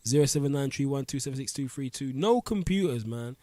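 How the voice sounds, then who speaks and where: A man hosting a community radio talk show from a dry, close studio mic, his nose audibly blocked up. He rambles in loose street slang, mid-pitched and relaxed. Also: brisk, no room sound. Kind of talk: casual radio chat.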